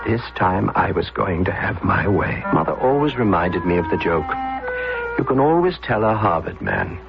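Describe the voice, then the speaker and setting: An actor playing a wry, breezy, rebellious upper-class son who narrates and banters in a period radio drama. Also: male voice, sits low, big pitch swings, normal speed, vocal fry, heavily acted. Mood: reflective, wry. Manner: wry, playful, wordy banter. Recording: dull old radio recording with music.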